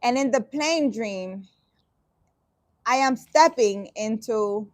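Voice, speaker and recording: Sarcastic, exasperated woman with a high voice. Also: no echo. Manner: halting, restarting sentences. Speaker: spiritual vlogger. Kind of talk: reading a dream interpretation aloud and commenting.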